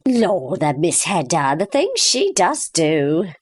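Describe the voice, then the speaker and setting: A woman playing a gossipy, easily awed household member in a comic play, drawn-out and exclamatory. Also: fairly low pitch, very animated, slightly rough, full character voice. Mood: awed, knowing. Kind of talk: comic stage-play acting.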